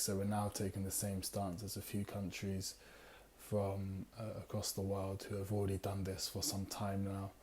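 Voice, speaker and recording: A man with a low, mellow voice that barely rises or falls talks through a book review. He sounds tired and a little raspy, and he rambles along with fillers, pausing now and then to read passages aloud. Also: a little hiss or hum. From United Kingdom. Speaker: book club host.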